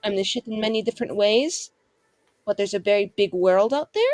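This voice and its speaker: A woman's voice: medium pitch, brisk, darkish tone, dry recording, studio quality, soft background music, lightly acted. A woman voicing a glamorous, sulky, ambitious young female mage in a dramatic, blunt, slangy way for a tabletop roleplaying game. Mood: frustrated, cocky.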